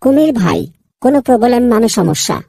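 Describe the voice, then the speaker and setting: A woman's voice: fairly high pitch, very animated, fairly quick, mellow, slightly rough, no room sound, clean studio sound, full character voice. A woman voicing a fussy, inquisitive busybody animal who bosses everyone around in a children's cartoon. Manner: inquisitive, bossy, repeats a catchphrase.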